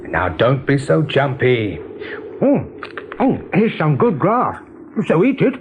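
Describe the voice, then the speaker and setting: High-voiced, sensible young rabbit. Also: male voice, huge pitch swings, narrow, tinny audio, full character voice. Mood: dismissive. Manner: brisk, reasoning, reassuring. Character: young male rabbit, sensible protective companion, sceptical. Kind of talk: radio drama acting. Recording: noisy old radio play recording.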